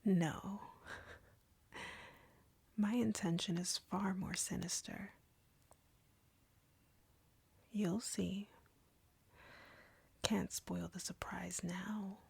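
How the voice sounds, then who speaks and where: A woman playing an arrogant, cruel, flirty female vampire captor in an audio roleplay, taunting in an intimate, breathy, husky whisper. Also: mid-range voice, steady tone, dry recording, heavily acted. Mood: teasing, sinister. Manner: taunting, slow, deliberate, drawling.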